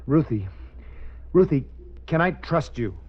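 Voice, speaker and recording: A man's voice: fairly high pitch, very animated, slow pace, full character voice. An actor playing a desperate, menacing armed killer in a slangy tough-guy manner, in 1950s radio drama style. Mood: torn, pleading. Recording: muffled old radio recording.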